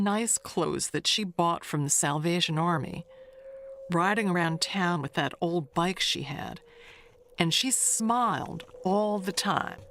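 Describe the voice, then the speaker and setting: A woman's voice: mid-range voice, a bit bright, a little hiss or hum. A woman playing a chatty older neighbor, rambling and confiding in an audio mystery tale, coy and wistful.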